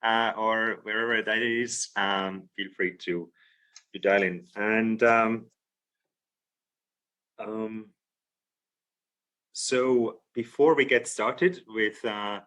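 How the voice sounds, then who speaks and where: A man hosting a video call breakout session, relaxed and full of fillers. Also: lowish voice, very slow, pauses often. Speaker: session host. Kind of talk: casual video call moderation.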